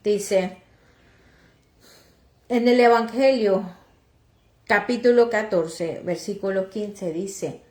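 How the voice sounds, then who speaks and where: A woman from Oaxaca whose first language is Chatino, slowly reading scripture and preaching, with halting restarts and self-corrections as she translates. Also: mid-range voice, darkish tone, reverberant. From Oaxaca, Mexico. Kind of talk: slow Bible reading and sermon, translated into Chatino.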